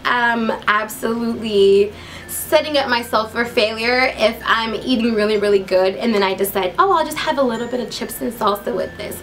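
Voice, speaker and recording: Giggly, high-voiced young American woman. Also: moderate pace, a bit bright, poor audio. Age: young adult. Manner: giggly, chatty, upbeat. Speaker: vlogger introducing herself. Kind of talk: casual chat to camera.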